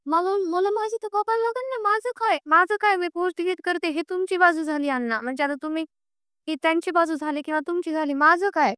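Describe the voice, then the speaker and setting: A woman's voice: very high-pitched, brisk, a bit bright, no echo, clean studio sound. A young woman, speaking insistently and pleadingly as she airs a grievance on a recorded phone call. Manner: insistent, aggrieved, pleading, repeats herself. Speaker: caller with a personal grievance. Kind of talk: emotional private phone conversation.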